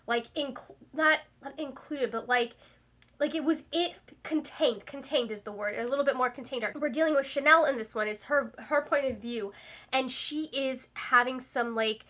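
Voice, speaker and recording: A woman's voice: sits high, moderate pace, bright, forceful tone. A young woman chatting casually about a book she just read, rambling with lots of fillers and self-corrections. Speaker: book reviewer. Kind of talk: casual book review chat.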